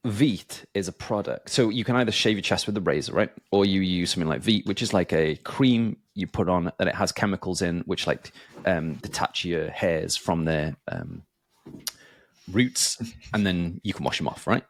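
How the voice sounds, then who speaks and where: A man's voice: low-pitched, normal speed, no room sound. A man hosting a podcast in casual chat, rambling with many fillers and restarts. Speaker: podcast host.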